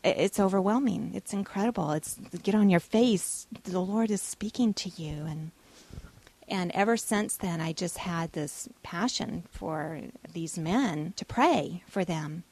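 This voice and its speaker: A woman's voice: fairly low pitch, measured pace, a little mellow. A woman from Texas with a Texan accent, a radio show guest telling a heartfelt personal testimony. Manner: earnest, heartfelt storytelling.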